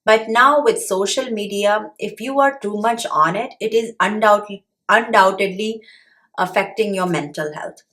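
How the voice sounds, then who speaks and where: A woman's voice: mid-range voice, fairly bright tone. Earnest Indian-accented woman explaining finances. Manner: earnest, explanatory, with occasional pauses and fillers. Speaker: personal finance YouTuber. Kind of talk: direct-to-camera talk.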